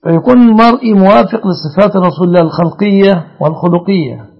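A man's voice: high-pitched, quick pace, vocal fry, highs cut off. A man, a religious scholar, answering callers' questions in formal speech and setting out rulings point by point. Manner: formal classical Arabic, lays out rulings point by point. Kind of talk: call-in fatwa answers.

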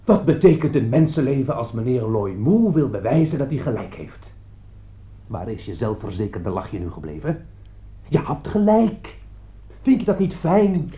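An actor playing a bitter, sardonic man in a radio play, husky, pointed and halting. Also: mid-range voice, sing-song, natural voice. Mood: bitterly accusing. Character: bitter, sardonic man who warned his friend. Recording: dull lo-fi radio play recording.

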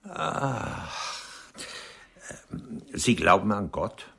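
A man's voice: sing-song, extremely slow, pushed and bright, exaggerated voice. An older man playing a wistful, hesitant old writer in a radio play, courtly and ironic in manner. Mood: bemused. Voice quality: husky, very deep.

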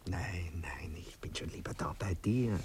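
A man's voice: sits low, measured pace, a little mellow, slight background noise. A man playing a vain, patronizing poet in a radio play, cooing theatrically and condescendingly. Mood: dreamy. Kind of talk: German radio play acting.

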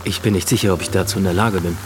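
A man's voice: deep voice, steady tone, rapid-fire, over music, close to an everyday voice, low-quality recording. An actor playing an anxious, earnest young executive who is trying to find his resolve in a radio drama. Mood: doubtful.

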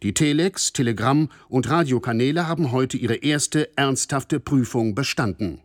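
An actor playing a sober radio news reporter in a radio play, measured and factual. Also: male voice, medium pitch, no room sound, studio quality, close to an everyday voice.

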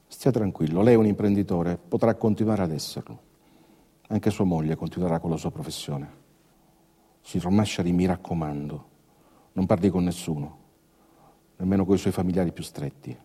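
An actor playing a formal, reassuring official in a stage drama, persuasive in manner, with a husky voice. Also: male voice, sits low, normal speed, pauses often, mellow. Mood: grave, reassuring. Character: reassuring official arranging a family's witness protection. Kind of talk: theatrical monologue drama acting. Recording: reverberant hall sound.

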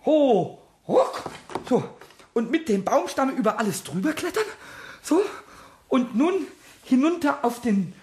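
A man voicing a small, cheeky, childlike kobold in a children's radio play, squeaky and excitable, muttering to himself in rhymes. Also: measured pace, full character voice. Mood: playful effort.

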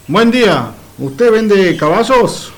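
A man's voice: high-pitched, very animated, slow pace, heavily acted. A man playing Pedro, a pensive, polite countryman who muses simply aloud in an amateur radio play. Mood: eager, polite. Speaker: amateur radio theatre actor. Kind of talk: radio theatre acting.

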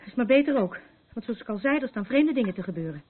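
An actress in a radio play, playing a troubled, battered woman who is anxious and rambles haltingly in defence of her abuser. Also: a little high, expressive, breathy edge. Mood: agitated, confiding. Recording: muffled, phone-like narrow band.

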